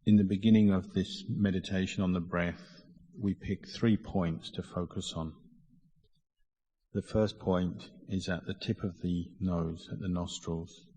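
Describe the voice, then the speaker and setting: A man teaching guided meditation with measured, deliberate phrasing and frequent pauses. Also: deep voice, fairly even intonation, dark tone, slightly rough. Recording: long hall reverb.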